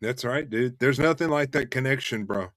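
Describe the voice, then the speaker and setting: A young man hosting a casual interview chat over a video call, relaxed and chatty, often repeating words and saying "man" and "dude". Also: mid-range voice, moderate pace, no room sound. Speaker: host and Elvis tribute artist.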